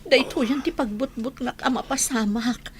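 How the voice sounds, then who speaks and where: A woman's voice: sits high, normal speed, a little hiss or hum, lightly acted. A woman playing a worried, devoted wife in an Ilocano radio drama, pleading and fretful. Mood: grieving, pleading.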